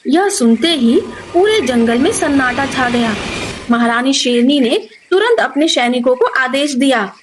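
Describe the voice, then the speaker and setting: A woman's voice: high-pitched, animated, measured pace, noisy background. A woman narrator reading a scripted children's moral story.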